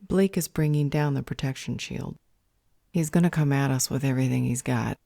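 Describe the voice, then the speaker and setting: Very low-voiced, analytical sorceress acted by a woman. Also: normal speed, vocal fry, dark tone, no echo, clean studio sound, heavily acted. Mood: grave, worried. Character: serious, analytical female magic user. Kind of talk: audio roleplay acting.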